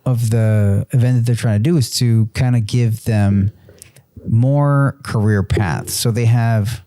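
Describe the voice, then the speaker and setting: A man's voice: mid-range voice, steady tone, unhurried, warm tone, no echo, clean studio sound. A man in his early forties co-hosting a casual podcast chat, rambling with many restarts and fillers. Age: 40s. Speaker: podcast co-host.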